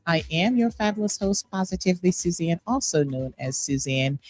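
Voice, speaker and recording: A woman's voice: fairly low pitch, expressive, normal speed, a little mellow. A middle-aged woman from Jamaica, hosting a radio interview show in a studio, upbeat and chatty, laughing often. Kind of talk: casual interview chat.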